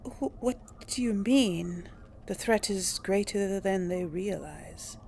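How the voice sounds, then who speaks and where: A woman's voice: mid-range voice, slow pace, mellow, slight background noise. A woman doing a casual let's play, commenting and role-playing dialogue as a gaming host.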